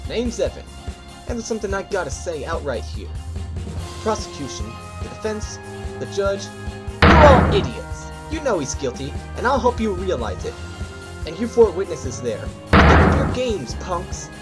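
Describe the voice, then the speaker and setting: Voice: medium pitch, big pitch swings, moderate pace, music in the background, close to an everyday voice. An actor playing a brash, cocky surprise witness in a courtroom audio drama, combative and insulting in an informal way. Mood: scornful, cocky.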